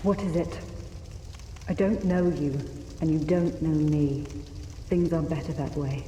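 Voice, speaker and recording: A woman's voice: low voice, steady tone, measured pace, dark tone, reverberant, big-room sound, slight background noise. A woman playing a guarded, aloof wandering knight in a fantasy video game.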